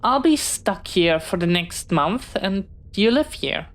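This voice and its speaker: A woman's voice: medium pitch, expressive, takes it slowly. A woman playing an eager, friendly, bookish young pirate in an audio roleplay, chatty and well-spoken with a slight hesitance. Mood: curious, friendly. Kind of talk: one-sided audio roleplay acting.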